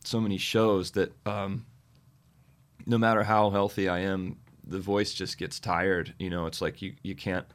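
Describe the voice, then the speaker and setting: A man, a touring musician guest, chatting casually with a slightly scratchy, tired voice and many halting fillers. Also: low voice, flat delivery. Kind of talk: casual interview chat.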